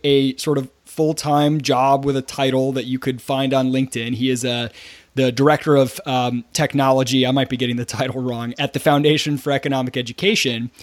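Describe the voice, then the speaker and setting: A man hosts a podcast interview in a mid-pitched voice, casual and chatty with frequent restarts. The sound is close and dry, with no room echo. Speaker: podcast host.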